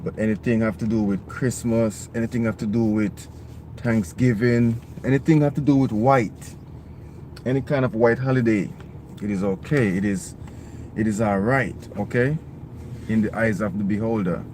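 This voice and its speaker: A man's voice: fairly low pitch, fairly even intonation, normal speed. A man vlogging live, speaking earnestly and with emphasis, often ending points with "right?" or "okay?". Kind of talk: live-streamed monologue. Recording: loud outdoor traffic noise.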